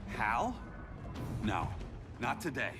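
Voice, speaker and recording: An actor playing a weary retired speedster superhero in a game cutscene, earnest, wry and regretful. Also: male voice, mid-range voice, wildly swooping pitch, slow. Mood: bitter, suspicious. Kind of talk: video game cutscene acting. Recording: loud music behind. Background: loud cinematic music.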